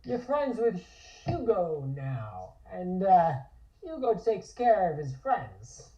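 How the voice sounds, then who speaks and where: A woman playing a very drunk, friendly man, loud, slurred and over-familiar, in a table read. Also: lowish voice, very animated, slow pace, reverberant, recorded from a distance, low-quality recording. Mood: drunk, generous. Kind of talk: comic script table read.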